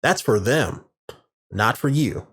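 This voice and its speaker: A man with a warm, medium-range voice hosts a podcast alone, talking casually into a studio condenser microphone. His delivery is loose and rambling, with plenty of fillers and restarted sentences. His pitch sits in the ordinary middle range for a man. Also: sing-song, takes it slowly, slightly rough. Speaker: podcast host. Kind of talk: casual explanatory monologue. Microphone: large studio condenser microphone.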